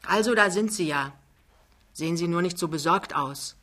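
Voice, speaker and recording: Blustering big-man hustler played by a female reader: jovial, chummy, colloquial, fast-talking audiobook character. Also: fairly low pitch, a bit bright. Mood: breezy, boastful. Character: big, boisterous, fast-talking male wheeler-dealer, jovial. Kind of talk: dramatized literary reading.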